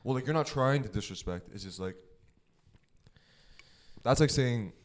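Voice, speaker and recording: A young man co-hosting a casual podcast, relaxed, mostly giving short agreeing replies. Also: mid-range voice, moderate pace. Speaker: podcast co-host.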